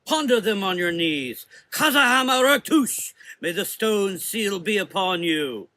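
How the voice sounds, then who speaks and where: Man playing a stern, forceful temple matriarch. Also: high voice, animated, unhurried, slight background noise, pitched above the performer's own voice, heavily acted. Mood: scornful, commanding. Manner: authoritative, accusing. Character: stern commanding forty-year-old woman, head of a temple, suspicious. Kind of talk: gothic horror audio drama.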